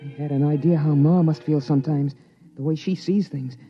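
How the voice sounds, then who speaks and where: A man's voice: fairly high pitch, fairly even intonation, brisk, faint music behind, lightly acted. Boy narrator played by a man, anxious and eager, old radio play, dull lo-fi sound. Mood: uneasy. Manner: earnest, breathless boyish delivery. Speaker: narrator and young son. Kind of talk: 1950s radio drama acting. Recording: muffled 1950s radio broadcast.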